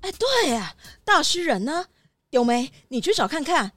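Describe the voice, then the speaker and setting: A woman's voice: sits high, big pitch swings, moderate pace, a bit bright, no room sound, soft background music. A woman voicing an earnest, worried small-town mayor in a children's radio drama. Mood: anxious.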